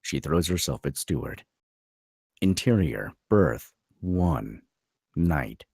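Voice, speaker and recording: Very deep, gravelly male narrator. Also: animated, slow pace, darkish tone, dry recording, studio quality. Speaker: narrator reading stage directions. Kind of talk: scripted narration at a table read.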